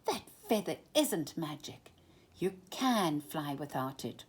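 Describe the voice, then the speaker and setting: A woman's voice: low voice, big pitch swings, slow pace, husky, exaggerated voice. A woman voicing a clever, kind little male circus mouse who talks in an eager, encouraging way. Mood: urgent.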